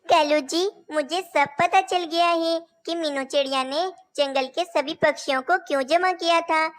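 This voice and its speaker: A woman's voice: sits high, measured pace. A woman voicing young female cartoon birds, caring and a little bossy, in a childlike, declamatory way. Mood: questioning. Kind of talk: Hindi animated children's story dialogue.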